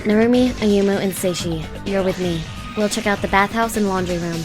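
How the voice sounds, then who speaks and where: A brusque student leader played by a woman with a mid-pitched voice, giving curt orders in an audio drama. Music plays clearly behind. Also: brisk, lightly acted. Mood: commanding. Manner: curt, commanding. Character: brusque, take-charge teenage student.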